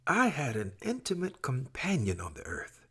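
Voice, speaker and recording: A man's voice: mid-range voice, huge pitch swings, normal speed, bright, forceful tone, slightly rough. A man reading scripture from a script in a solemn, emphatic, dramatic way. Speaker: scripture reader. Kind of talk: expressive scripted scripture recitation.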